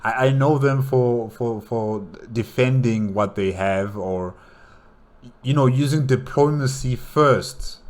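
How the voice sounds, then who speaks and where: A man giving casual reaction commentary as a host, thinking aloud hesitantly and repeating his words. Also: on the low side.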